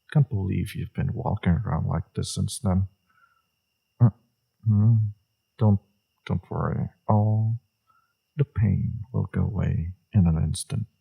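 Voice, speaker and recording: Man acting a gravelly, husky, flirtatious villain. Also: warm tone, exaggerated voice, low-quality recording. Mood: coaxing, caring. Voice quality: low, husky, gravelly, breathy. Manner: slow, teasing, murmured delivery. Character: flirtatious teasing male villain, secretly tender and caring. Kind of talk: romantic audio roleplay, intimate scripted acting.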